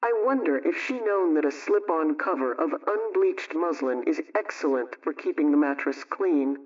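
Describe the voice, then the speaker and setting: A man's voice: a little high, lively intonation, normal speed, far from the mic, lo-fi sound. A man hosting a scripted old-time homemaking program, giving household advice in a chatty, instructive way.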